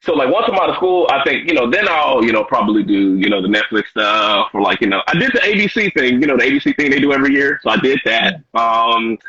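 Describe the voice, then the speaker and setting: A man's voice: mid-range voice, expressive, fast, hardly any pauses, sharp tone, husky. A man in his thirties from Washington, D.C., a stand-up comedian telling stories as a guest in a casual interview, slangy and loose, with lots of "you know". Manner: laid-back slangy storytelling with frequent "you know". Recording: dull lo-fi audio.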